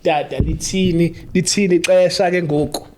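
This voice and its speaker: A man with a South African accent who hosts an interview podcast, talking casually and warmly with lots of laughs and "man". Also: high voice, steady tone, a little fast, a crowd in the background. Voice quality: hype-man, laughs often, affectionate filler "man". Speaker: podcast host.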